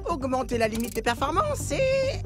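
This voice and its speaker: Very animated, high cartoon professor. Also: female voice, moderate pace. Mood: proud. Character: eccentric, showy techie professor presenting inventions. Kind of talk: French cartoon dub acting. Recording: loud music behind the voice.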